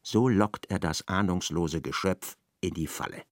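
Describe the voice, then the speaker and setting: An actor narrating a literary radio play in a precise, measured way. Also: male voice, low-pitched, no room sound, clean studio sound. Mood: measured narration.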